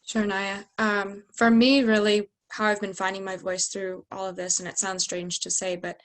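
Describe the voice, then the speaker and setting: A woman's voice: medium pitch, little pitch movement, normal speed. A young woman, a student athlete leader, speaking reflectively and searching for words. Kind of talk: roundtable discussion.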